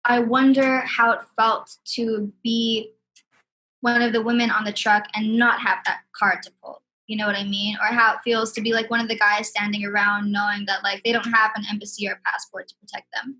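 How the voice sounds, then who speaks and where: A woman's voice: mid-range voice, little pitch movement, moderate pace, pushed and bright, poor audio. A woman from Sudan, a guest speaking candidly and with feeling in a casual conversation. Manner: candid, heartfelt, many "you know what I mean".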